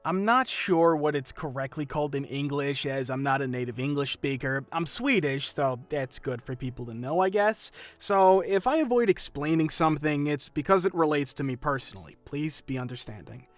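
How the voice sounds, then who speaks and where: A man with a fairly high voice reads out a reader's story, expressive and animated, letting little laughs slip in. He sounds dry and close, with faint music underneath. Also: normal speed, hardly any pauses, no echo. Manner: lively, expressive reading with small laughs. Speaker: story narrator. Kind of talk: scripted narration of a reader's story.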